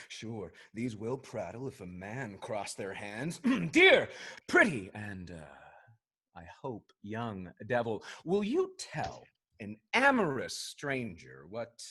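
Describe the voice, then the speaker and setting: Man playing a roguish, husky, swooping sea captain. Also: fairly low pitch, huge pitch swings, pushed and bright, heavily acted. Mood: seductive. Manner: theatrical, swaggering delivery with hesitant teasing pauses. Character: roguish rakish sea captain, lusty, boisterous, flirtatious Englishman. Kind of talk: Restoration comedy stage acting.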